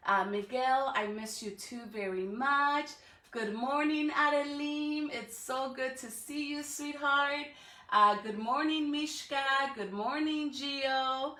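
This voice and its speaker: School lady talking to little kids, expressive and bright, kinda slow, echoey room. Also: high-pitched. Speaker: school educator reading aloud to students. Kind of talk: storybook read-aloud and greetings to young students.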